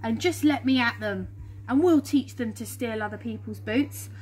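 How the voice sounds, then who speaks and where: A woman's voice: fairly high pitch, moderate pace, darkish tone, husky, poor audio. A woman with an English accent voicing bold, boastful men making challenges in a storybook. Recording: outdoor phone recording with background hum.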